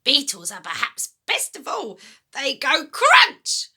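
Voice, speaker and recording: A woman voicing a sinister, gleeful old grandmother from a children's storybook, throaty and whispery, with a drawn-out, menacing delivery. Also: high-pitched, wildly swooping pitch, pushed and bright, pitched above the performer's own voice, full character voice. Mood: gleeful relish. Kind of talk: dramatic children's storybook reading.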